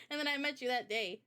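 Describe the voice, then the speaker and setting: Woman, higher voice, very bright tone, giggly, chatty, informal livestream host. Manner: giggly, laughs often while talking. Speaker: host and visual novel developer. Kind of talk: casual livestream Q&A chat.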